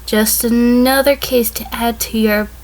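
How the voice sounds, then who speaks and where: A woman playing a cool, guarded sheep defendant testifying deliberately in a fan audio drama. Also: on the high side, steady tone, darkish tone, close to an everyday voice, low-quality recording. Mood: cool, sardonic. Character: female sheep defendant, cool, guarded former assistant mayor.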